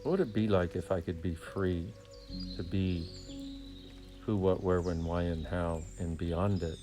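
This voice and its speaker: A man with a low voice guides a meditation. He speaks soothingly and deliberately, breaking his sentences into short phrases with long pauses. Music plays behind him along with birdsong. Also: fairly even intonation.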